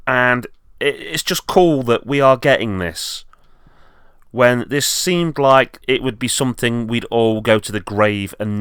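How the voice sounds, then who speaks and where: A man's voice: medium pitch, a bit bright, clean tone, dry recording, studio quality. A man presenting an enthusiastic fan update as a video host, speaking in an earnest way.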